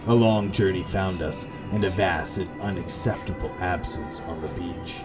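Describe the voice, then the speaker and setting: Man reading as solemn low otherworldly gothic entity. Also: fairly even intonation, moderate pace, natural voice. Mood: ominous, solemn. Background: atmospheric game music.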